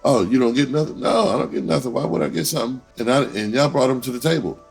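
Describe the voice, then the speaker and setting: A man's voice: medium pitch, fast, creaky, darkish tone, slightly rough. A man telling a personal story in casual, profane slang, repeating himself and acting out conversations as he goes. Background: music under the voice.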